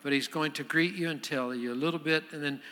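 A man's voice: fairly high pitch, quick pace, bright, forceful tone. A man, a guest pastor, introducing a visiting archbishop in a loose conversational way with frequent restarts. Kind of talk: sermon introduction. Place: church sanctuary. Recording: long church reverb.

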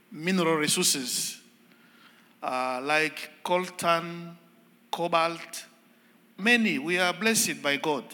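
Slow, careful Congolese archbishop, bright tone. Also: male voice, on the high side. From Democratic Republic of the Congo. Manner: slow, halting non-native English with pauses. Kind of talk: guest church talk. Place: church sanctuary. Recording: long church reverb.

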